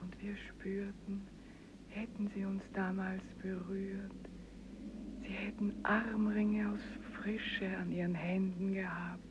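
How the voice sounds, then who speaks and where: Hushed, slow, wistful old woman played by an actress. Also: medium pitch, flat delivery, close to an everyday voice. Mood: awed. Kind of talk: slow poetic radio drama recitation. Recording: dull radio recording, faint noise.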